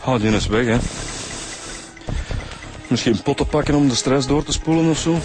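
A man's voice: medium pitch, moderate pace, music in the background, natural voice. A man with a Flemish accent playing a down-to-earth, wry police detective in a radio drama.

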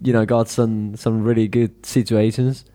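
A man hosting a podcast interview, talking in a relaxed way and filling his sentences with "you know". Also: fairly low pitch, normal speed. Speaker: podcast host, English teacher. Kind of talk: casual interview chat.

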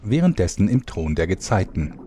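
A man's voice: deep voice, sing-song, normal speed, very noisy. A man playing a wry, bossy storyteller, delivering scripted comic narration with dry irony. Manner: dry, ironic.

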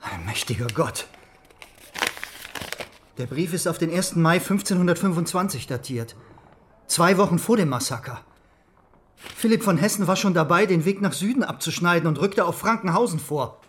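An actor playing an earnest, loyal religious dissident in a German radio drama, speaking gravely and persuasively. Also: male voice, fairly high pitch, lively intonation, moderate pace, long pauses, fairly bright tone, slight background noise.

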